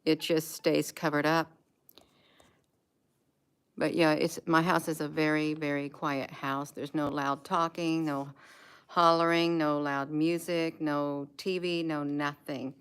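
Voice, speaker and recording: American woman, low register, casual home vlogger, unscripted and repetitive. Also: level tone, slow pace, clear, resonant tone, rough recording. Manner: repeats words and phrases for emphasis. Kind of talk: casual rambling chat, showing gadgets. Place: indoors at home.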